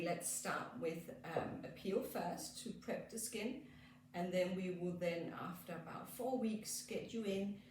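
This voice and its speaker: A woman with a Danish accent, a skin therapist gently explaining a treatment as she works, with frequent fillers. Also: mid-range voice, distant mic, a little hiss or hum. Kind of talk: treatment demonstration. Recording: echoey room, off mic.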